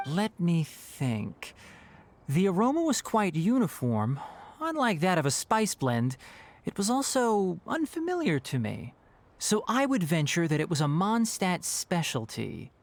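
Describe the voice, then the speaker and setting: A man playing a sharp-witted young scholar in a video game, precise, articulate and dryly analytical. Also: sits high, wildly swooping pitch, unhurried, slight background noise, heavily acted. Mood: thoughtful.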